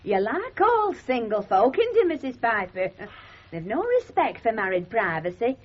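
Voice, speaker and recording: A protective Northern English mum who rules the house with a short temper. Her voice is highish and rough-edged, lively in pitch, in a slightly dull 1970s radio comedy recording. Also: normal speed. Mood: pointedly knowing. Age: middle-aged. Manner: scolding, commanding. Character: bossy, protective working-class mother, middle-aged, short-tempered.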